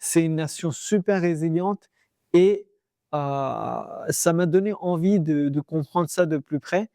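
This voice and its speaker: A man's voice: fairly high pitch, measured pace, vocal fry, dark tone, no room sound, clean studio sound. A young man of Pakistani heritage giving earnest advice to camera, talking casually with many fillers and restarts. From Pakistani heritage, living in Pakistan. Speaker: vlogger sharing expat advice.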